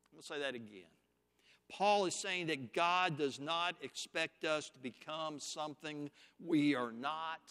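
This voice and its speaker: A man preaching a sermon, teaching scripture point by point in a slow, deliberate, emphatic way. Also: a little high, fairly bright tone, clean tone, in a large hall.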